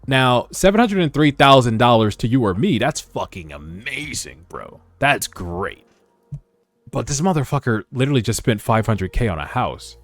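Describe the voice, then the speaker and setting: A man giving animated, slangy, sometimes profane reaction commentary as host of a read-along. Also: mid-range voice, normal speed, no echo. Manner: casual, slangy, profane, excitable. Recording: background music under voice. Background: music.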